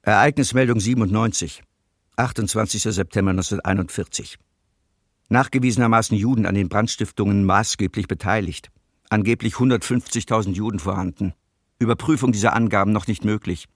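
Male report reader: low, dry studio sound, bureaucratic, clipped, cold documentary delivery. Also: brisk, no room sound. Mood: cold, factual. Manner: clipped, impersonal telegram style. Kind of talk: documentary radio play, read report.

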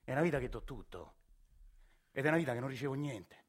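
A man's voice: mid-range voice, lively intonation, quick pace, close to an everyday voice. An actor playing a bitter Roman family man. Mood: exasperated. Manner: bitter, sardonic storytelling, rising to outbursts. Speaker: actor in a monologue. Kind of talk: theatrical radio monologue.

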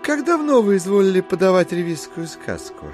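An actor playing a smooth, calculating gentleman visitor in an audio drama, measured, deferential and careful with words. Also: high voice, very animated, soft background music. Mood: careful, probing.

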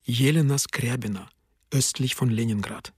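A man's voice: mid-range voice, normal speed, breathy edge, no echo, studio quality. A man announcing the speakers in a radio drama, terse and matter-of-fact. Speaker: narrator. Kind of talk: announcing sources, scripted.

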